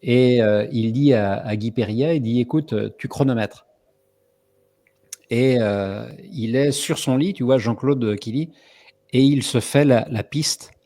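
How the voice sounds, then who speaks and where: A man's voice: mid-range voice, creaky, warm tone. A middle-aged man hosting a live online summit over a video call, telling stories in a chatty way full of hesitations. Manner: chatty, many fillers, storytelling. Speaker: host.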